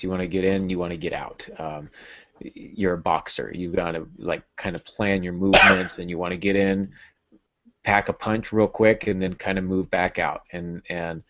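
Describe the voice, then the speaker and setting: A man's voice: low-pitched, fairly bright tone. A middle-aged man hosting a podcast, giving casual writing advice and repeating phrases for emphasis. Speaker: podcast host and writing coach. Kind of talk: casual instructional talk. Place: small indoor home office. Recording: dull, lo-fi video call audio.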